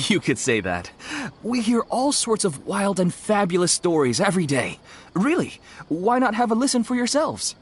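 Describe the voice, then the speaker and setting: A woman's voice: medium pitch, very animated, moderate pace, slightly rough. A woman playing a polite, earnest event organizer in a fantasy video game, speaking formally and welcomingly. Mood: welcoming, nostalgic. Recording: game music playing behind.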